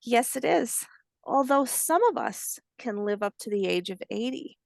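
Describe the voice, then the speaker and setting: A woman voicing a kindly, patient old grandmother alligator, telling facts in a gentle storybook manner. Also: a little high, animated, unhurried, vocal fry, dry recording. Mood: tender. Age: older.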